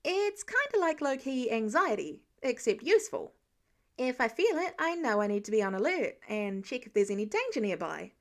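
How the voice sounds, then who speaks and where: A woman voicing an excitable, awkward teenage boy superhero who rambles eagerly in an audiobook-style story. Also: on the high side, normal speed. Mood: earnest, chatty.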